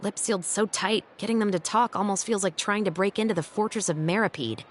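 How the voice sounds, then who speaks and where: A woman playing a composed, dutiful female patrol captain in a video game, speaking in a measured, dryly wry way. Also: mid-range voice, slight background noise, lightly acted. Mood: explanatory, calm. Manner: measured, dry, articulate.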